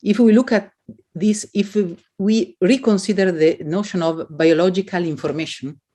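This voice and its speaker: A woman with an Italian accent, speaking as an academic who answers questions in an expressive, halting way. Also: lowish voice.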